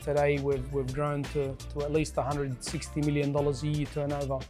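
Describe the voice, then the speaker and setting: Man, fairly high voice, monotone, halting speech, interview style, faint background music. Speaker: general manager of a tyre business. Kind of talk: interview testimonial about a family business.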